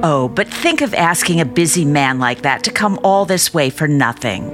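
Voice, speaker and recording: Practical small-town mom, radio drama, chiding, lower register, expressive swoops, music behind. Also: female voice, normal speed. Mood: puzzled, chiding. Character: fretful, practical small-town mother of a teenage girl. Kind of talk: radio play acting.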